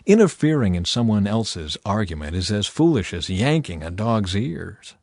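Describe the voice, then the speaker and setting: A man narrating scripture as a dramatized reading, with a theatrical, emphatic and deliberate delivery over background music. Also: on the low side, very animated, a little mellow, no room sound, studio quality. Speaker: Bible narrator.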